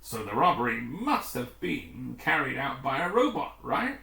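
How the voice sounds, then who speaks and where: A man's voice: medium pitch, sing-song, a little slow, creaky, bright, forceful tone, exaggerated voice. A man reading the part of an earnest rescue crew technician in a storybook, reporting in a matter-of-fact way. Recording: roomy, echoing room.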